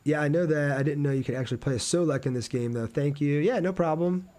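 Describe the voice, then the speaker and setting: A man's voice: a little high, quick pace, a little mellow. Casual man streaming a video game. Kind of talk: casual livestream gameplay commentary with chat replies.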